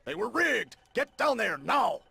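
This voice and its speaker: A man voicing an irascible, bossy middle-aged newspaper boss in a cartoonish video game, barking impatiently in a raspy voice. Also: high voice, sing-song, a little slow, sharp tone, exaggerated voice. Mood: irate, bossy.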